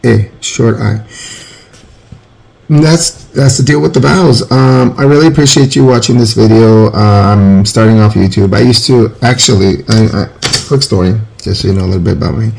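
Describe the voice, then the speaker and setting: Man, 40s, teacher, rambling casual lesson, very gravelly, husky. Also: on the low side, moderate pace, a little mellow, low-quality recording. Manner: rambling, self-correcting, informal.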